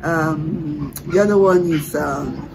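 A woman's voice: low voice, takes it slowly, vocal fry. A young woman hosting a casual interview indoors, chatty and laughing often, asking playful questions. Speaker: host. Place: indoors, room with a red wall and a barred window. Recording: noisy home video.

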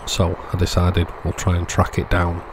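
A man's voice: sits low, completely flat delivery, moderate pace, background noise. A middle-aged man narrating casual workbench repairs as a channel host, explaining step by step in short, plain phrases.

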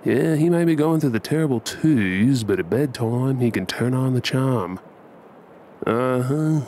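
Slow, tender Australian dad acted by a man. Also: medium pitch, lively intonation, warm tone, slight background noise, lightly acted. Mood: playful, teasing. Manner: unhurried, affectionate, coaxing. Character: tender, playful husband and dad of a toddler. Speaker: ASMR role-play performer. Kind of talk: intimate ASMR role play.

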